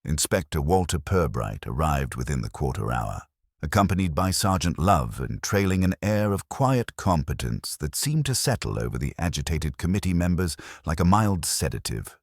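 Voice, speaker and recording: A man reading a scripted period mystery story in a measured, wry and formal storytelling manner. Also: a bass voice, sing-song, no echo, studio quality. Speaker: narrator.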